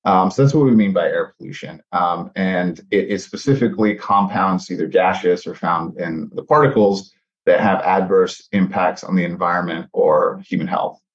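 Deep voiced guy in his 30s from mexico and texas, chatty podcast guest, lots of uhs, kinda dull sound. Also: normal speed. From born in Mexico, raised in South Texas. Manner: frequent "uh" fillers, animated and friendly. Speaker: podcast guest, air pollution scientist. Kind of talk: casual interview talk.

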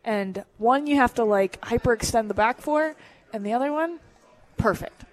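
A woman's voice: a little high, moderate pace. A young woman hosting a casual sports radio show from a bar, teasing her co-host in playful banter. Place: bar and lounge.

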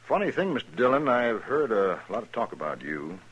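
Low-voiced, menacing outlaw boss. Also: male voice, sing-song, fairly quick, a bit bright, breathy edge, highs cut off, full character voice. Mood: mocking. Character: cool, menacing outlaw gang boss, taunting and self-assured. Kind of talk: 1950s radio western acting.

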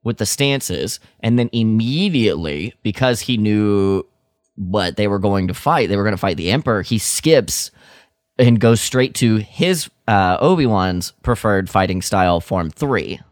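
A man's voice: lowish voice, animated, a little slow, no room sound, studio quality. A man co-hosting a podcast, chatting casually with a wry, joking streak. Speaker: podcast co-host. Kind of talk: casual chat about Star Wars lore.

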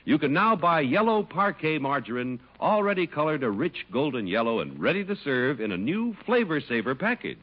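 A man's voice: on the high side, very animated, a little fast, hardly any pauses. An American man announcing in polished, emphatic 1950s radio style, reading scripted ads and introductions. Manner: polished, emphatic sales delivery. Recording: muffled old radio broadcast over music.

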